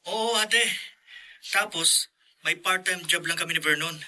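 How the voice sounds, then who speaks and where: A man's voice: high-pitched, measured pace, sharp tone, exaggerated voice. A man playing an earnest, determined young student and younger brother in a radio drama. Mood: apologetic, resolute. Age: young adult. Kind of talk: Filipino podcast radio drama acting.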